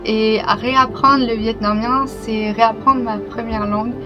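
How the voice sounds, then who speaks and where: A young woman talking to her channel in a casual, reflective way, open and a little hesitant, with soft laughs. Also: a little high, fairly even intonation, unhurried, dull audio. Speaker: YouTuber. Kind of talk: casual personal vlog talk. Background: music.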